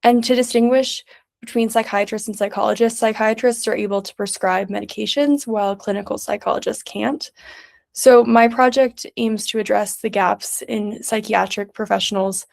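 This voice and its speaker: A young woman from New York City, a student intern, giving a prepared slideshow presentation in a clear, methodical way. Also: mid-range voice, steady tone, normal speed, darkish tone. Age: young adult.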